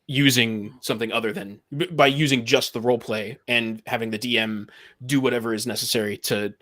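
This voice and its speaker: A man's voice: medium pitch, steady tone, fairly quick, a bit bright. A man in a casual podcast debate, making his points emphatically and repeating them. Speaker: podcast panelist.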